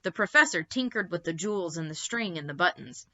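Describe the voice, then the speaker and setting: A woman narrates a children's story with expressive flair. Her voice is bright and very animated, and the recording sounds slightly dull and lo-fi. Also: on the low side, brisk.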